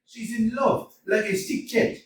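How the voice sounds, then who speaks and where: A man's voice: extremely high pitch, little pitch movement, measured pace, background noise. A man playing an effusive, jovial older landowner in a staged play reading, laughing often and heaping on pet names, recorded in a roomy hall from a distance. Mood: overjoyed. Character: jovial gushing older landowner, father of the bride.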